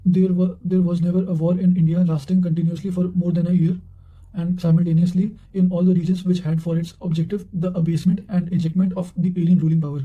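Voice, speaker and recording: High-pitched, very mellow man reading study notes. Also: flat delivery, fast talker, dull audio. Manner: careful, formal reading with frequent stumbles over words. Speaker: reader of history study material. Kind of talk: reading quotations aloud for exam revision.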